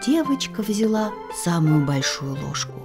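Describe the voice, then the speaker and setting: A woman narrating a children's fairy tale in an expressive, sing-song, deliberate storytelling manner. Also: on the low side, music in the background.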